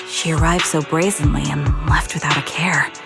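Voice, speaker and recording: An actress playing a calm, thoughtful, resolute young woman soldier in a video game, speaking sincerely and with measured composure. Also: low voice, vocal fry. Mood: guarded, analytical. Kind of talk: earnest scripted game dialogue. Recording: loud music under the voice.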